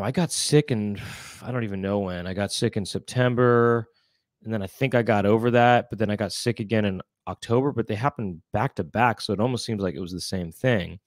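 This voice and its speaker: A man's voice: on the low side, no room sound. A man in his thirties co-hosting a casual chat show from a small room at home, congested and rambling playfully into a studio mic. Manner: rambling, playful comic riffing. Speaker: co-host. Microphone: studio mic with headphones.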